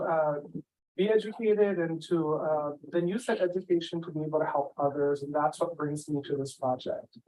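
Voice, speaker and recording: A man raised on Kauai introduces himself to a virtual audience, warm and sincere. His voice runs a little high, and he leans on gentle "uh" fillers between phrases. He sounds like he is speaking into a video call. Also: low-quality recording. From Hawaii. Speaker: assistant professor, panelist. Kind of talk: self-introduction at a virtual event.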